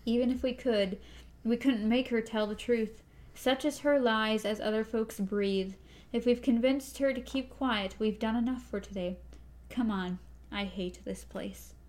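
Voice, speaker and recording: A stern young gunslinger, cold and threatening, as a woman reading aloud puts him on. He lays down slow, grave warnings in a storybook reading. The voice stays close to the reader's own. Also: on the high side, a little mellow, lightly acted. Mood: grim.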